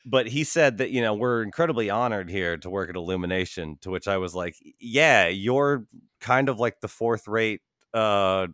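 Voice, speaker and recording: Man, very low pitch, resonant and smooth, skeptical, wry, casual podcast talk. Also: normal speed, a bit bright, no echo, studio quality.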